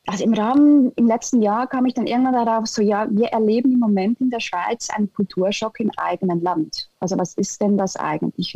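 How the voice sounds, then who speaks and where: A woman's voice: medium pitch, warm tone, no room sound, lo-fi sound. A young woman from Switzerland with a Swiss German accent, giving thoughtful, hesitant interview answers with many fillers. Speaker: interview guest, integration specialist.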